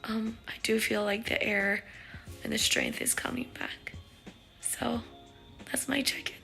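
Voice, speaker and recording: A woman vlogger giving a casual recovery check-in, with a raspy, sometimes unclear voice and a halting, filler-heavy way of talking. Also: fairly high pitch, fairly even intonation, bright, forceful tone, in a whisper, faint music behind. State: recovering voice after neck surgery.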